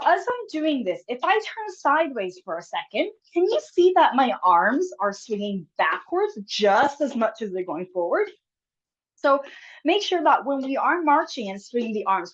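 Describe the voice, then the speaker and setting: A woman's voice: on the high side, highs cut off. A woman with a cold, sniffling now and then, leading a live online exercise class in a friendly, encouraging, chatty way. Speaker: exercise instructor. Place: home room, video call.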